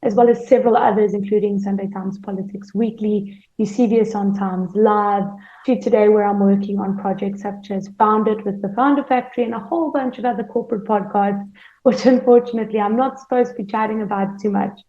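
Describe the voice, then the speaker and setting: A woman's voice: medium pitch, fairly even intonation, brisk, dark tone. A woman explaining her work as an interview guest. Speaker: podcast producer and manager, interview guest. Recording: roomy, dull lo-fi sound.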